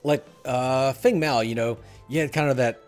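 A middle-aged man hosts a relaxed gaming discussion from a small room at home. His voice sits at an average pitch, with faint music playing behind him. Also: moderate pace. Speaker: podcast host.

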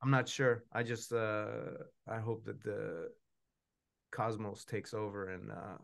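A man's voice: on the low side, level tone, slow pace, creaky, a bit bright. A middle-aged man answering questions on a video call, chatting casually in a rambling, self-effacing way. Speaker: puppeteer answering audience questions. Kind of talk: casual chat. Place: indoor room at home, video call.

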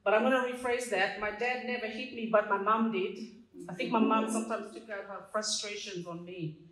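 A woman from Fiji in her fifties speaks at a stand mic on a small stage, telling her story with playful asides and laughter. Her middle-pitched voice carries a roomy hall echo. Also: steady tone, brisk, a crowd in the background. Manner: humorous, laughs at her own jokes. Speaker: community association president. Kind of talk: personal speech read from notes. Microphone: stand mic, filmed from a distance.

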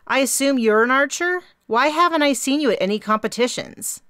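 Lady doing a bouncy young minnesota gal, higher voice, quick clipped chatter, dry close sound. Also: animated, fairly bright tone, smooth, dry recording. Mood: eager. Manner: fast, clipped, round vowels, bouncy. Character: bubbly young woman receptionist, eager chatterbox.

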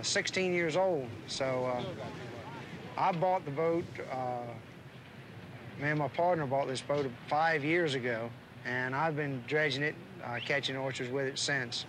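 High-voiced boat captain on deck. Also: male voice, a little slow, background noise. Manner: hesitant with fillers when talking, urgent when giving orders. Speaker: skipjack captain. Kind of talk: casual interview and shouted commands on deck. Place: outdoors on a sailboat on open water.

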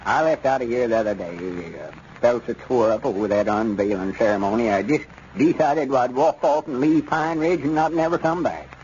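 A man's voice: mid-range voice, normal speed, darkish tone, lightly acted. Man acting folksy Southern storekeeper, old muffled radio. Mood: resolute, wounded pride. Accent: rural Southern US. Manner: folksy, drawling, homespun grammar. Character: folksy, proud, stubborn small-town storekeeper, middle-aged or older. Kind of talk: 1930s-40s radio comedy serial acting. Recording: dull, noisy vintage radio recording.

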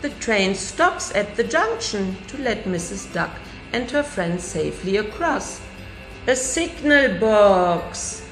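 A woman's voice: mid-range voice, takes it slowly, echoey room, faint music behind. A middle-aged woman, a nursery teacher, reading a picture book aloud to children and raising her voice for the characters. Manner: lively storybook reading with raised voices for characters. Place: classroom indoors.

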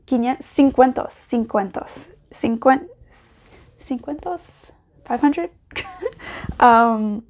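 A young woman, a native English speaker, talking through a casual video diary in learner Spanish, halting and correcting herself with many fillers, recorded at home on a lapel mic. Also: a little high, a little mellow. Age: young adult. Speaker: language learner vlogger. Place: indoor room at home.

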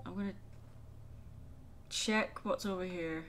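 A woman's voice: fairly low pitch, fairly even intonation, takes it slowly, crowd noise. A woman hosting a let's play, chatting casually and exclaiming at what happens on screen. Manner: chatty, easily startled, exclaims at the game. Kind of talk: casual gameplay chat.

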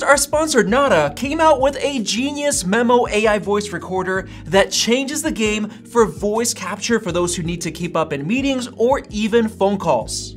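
A man's voice: sits high, fairly bright tone. A young man presenting a scripted tech review to camera in an emphatic, opinionated way. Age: young adult. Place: small indoor studio set. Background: background music.

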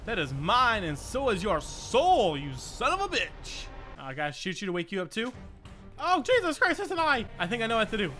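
A man hosting a let's-play, commenting excitedly and swearing freely, often repeating phrases in quick bursts. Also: high-pitched, soft background music. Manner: foul-mouthed, exclamatory, repeats phrases in bursts. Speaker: gaming video host.

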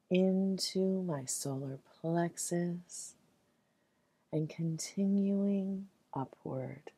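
A woman leading a guided meditation in a soothing, gentle, measured way, leaving long pauses between phrases. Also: deep voice, slightly rough, dry recording.

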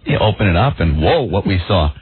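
Male radio co-host, 30s, animated and lively, laughing; lo-fi phone-like broadcast. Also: deep voice, a little fast. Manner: animated, laughs a lot, mocks with put-on voices. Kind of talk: casual radio banter. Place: radio studio. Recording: tinny lo-fi radio broadcast with faint hiss.

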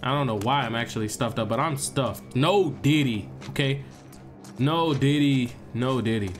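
A young man with a stuffed-up nose giving animated, joking gameplay commentary to his viewers. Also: medium pitch, moderate pace, faint music behind. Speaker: gaming streamer.

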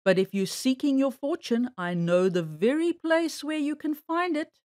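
Woman voicing a sly, slow-drawling storybook bear. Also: sits high, animated, a little mellow, dry recording, studio quality. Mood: coaxing, boastful. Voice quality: harsh. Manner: coaxing, drawn-out, boastful. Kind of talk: children's storybook character voice.

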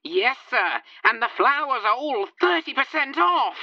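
A teenage male performer voicing a lonesome, excitable, deferential detective in a comic radio play. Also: very high-pitched, measured pace, dry recording, muffled recording, voice pushed up, exaggerated voice. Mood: flustered, deferential. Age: teens. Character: lonesome, bored, excitable London police detective.

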